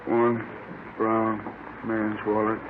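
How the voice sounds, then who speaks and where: A slightly grumpy American desk officer mumbles along in a fairly low voice. He is halting and unhurried, in vintage radio drama with a thin, noisy sound. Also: monotone. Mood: routine. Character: unhurried, slightly grumpy male police booking clerk. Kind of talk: 1950s radio drama acting. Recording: noisy, narrow-band old radio recording.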